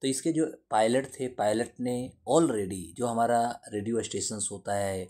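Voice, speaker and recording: Male current affairs teacher, soft-edged mellow voice, mid pitch, didactic explainer who restates points. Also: measured pace. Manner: didactic, repeats and rephrases points. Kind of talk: explanatory lesson to camera.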